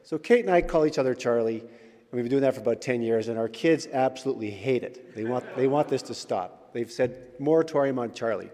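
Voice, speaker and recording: A man of middle pitch giving a chatty, story-filled sermon full of little fillers. His voice carries a long echo through the church hall. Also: brisk, mellow. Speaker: church elder, preacher. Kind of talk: informal sermon with anecdotes.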